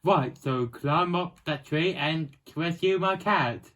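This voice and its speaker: A man's voice: fairly high pitch, slow pace, fairly bright tone. A man playing a bossy, exasperated cat owner who pleads and insists, in improvised comedy. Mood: indignant, insistent. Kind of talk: improvised comedy role-play.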